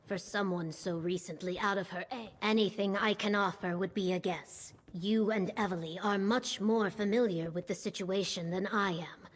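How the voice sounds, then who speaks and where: An actress playing a composed, protective governor, husky and measured, in a video game. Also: female voice, mid-range voice, close to an everyday voice.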